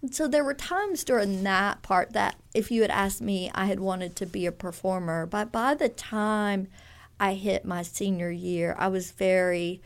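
A woman's voice: medium pitch, unhurried. A woman in her fifties from Louisiana, a fiber artist chatting in a radio interview, rambling through stories with restarts and small laughs.

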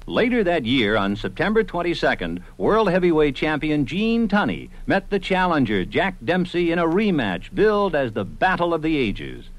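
A man's voice: a little high, very animated, normal speed, few pauses. A man reading scripted historical narration as a radio documentary narrator. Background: music.

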